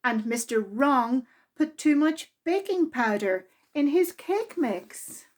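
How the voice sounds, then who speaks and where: Lady reading a picture book to little kids, high voice, really slow and expressive storytime style. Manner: deliberate, expressive reading aimed at young children. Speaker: library storytime reader. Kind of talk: children's storybook narration.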